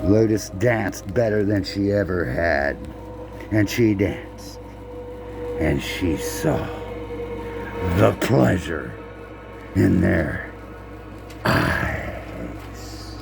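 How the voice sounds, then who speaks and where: A man reading a children's picture book aloud in a halting way, repeating words and slipping in playful asides to the listener. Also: deep voice, expressive, creaky, over music. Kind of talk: storybook read-aloud with casual asides.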